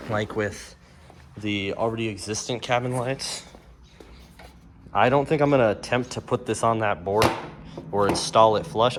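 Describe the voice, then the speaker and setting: An easygoing man talks through a hands-on DIY build in a casual, unscripted vlog style. His voice sits at an average male pitch and his delivery is offhand. There is noticeable ambient noise behind him. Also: a bit bright. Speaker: vlogger showing a DIY car build. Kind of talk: casual unscripted show-and-tell. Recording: clear background noise and ambience.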